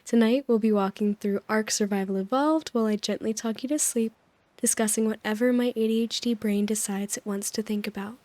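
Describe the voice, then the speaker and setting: A woman hosting a sleep-aid video, rambling gently over gameplay, her voice slightly hoarse as it recovers. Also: mid-range voice, fairly even intonation, a little fast, no room sound. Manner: gentle, soothing, meandering with small laughs. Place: voice-over recorded apart from the game footage.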